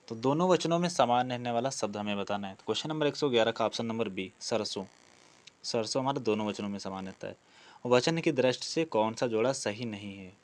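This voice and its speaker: Male teacher taking quiz questions, mid-pitched voice. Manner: explanatory, often repeats words and answers. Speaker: exam-prep Hindi grammar teacher. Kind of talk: online lesson, working through quiz questions.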